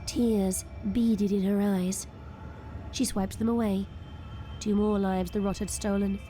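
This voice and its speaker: A woman's voice: medium pitch, flat delivery, takes it slowly, dark tone, soft background music. A woman reading scripted third-person story narration as the narrator of an audio drama.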